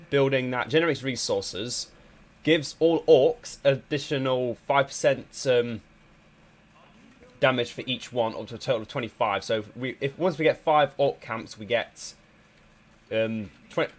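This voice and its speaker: Male gameplay commentator, mid-pitched, a little stuffy from a cold, halting and casual. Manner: hesitant, many ums and restarts. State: slightly congested from a cold. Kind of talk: casual strategy game commentary.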